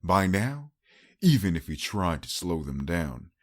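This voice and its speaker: Casting: male audiobook narrator, low register, dry studio-like sound, dramatic scripted storytelling. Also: sing-song, measured pace, no room sound.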